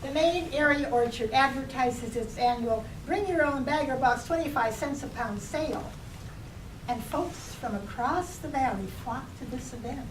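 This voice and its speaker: A woman poet reading her own work to an audience, phrasing it in a measured, deliberate way. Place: public library reading room. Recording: roomy, distant mic, noisy with rumble.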